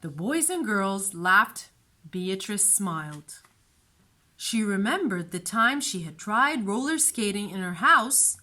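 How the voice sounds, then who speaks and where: A woman storyteller narrating a children's storybook in an expressive, deliberate way. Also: medium pitch.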